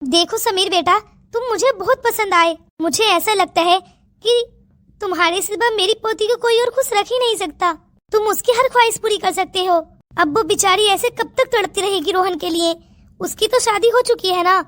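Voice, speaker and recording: A woman's voice: very high voice, moderate pace, fairly bright tone, no room sound. A woman voicing a doting, earnest elderly grandparent in a melodramatic Hindi cartoon story. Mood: concerned, persuading. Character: worried, doting elderly grandparent seeking a match. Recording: music under the voice.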